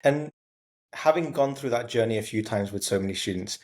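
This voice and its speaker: A man in his thirties hosting an interview podcast, polite and measured, with "um" fillers. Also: mid-range voice, darkish tone. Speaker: podcast host.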